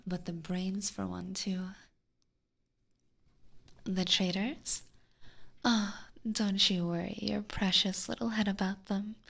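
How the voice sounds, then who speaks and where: Girl doing a posh possessive vampire catgirl, asmr rp, breathy whisper w a bit of creak, real slow n teasing, super close mic. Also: medium pitch, full character voice. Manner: slow, formal, coaxing. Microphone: close binaural microphone.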